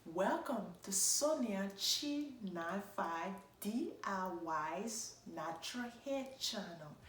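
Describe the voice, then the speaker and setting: A woman's voice: medium pitch, animated, takes it slowly, a bit bright, roomy sound, a little hiss or hum. A young woman vlogging casually about hair care, chatting to her viewers and calling them guys. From Liberia. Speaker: natural hair vlogger and braider. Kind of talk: casual vlog chat and voiceover.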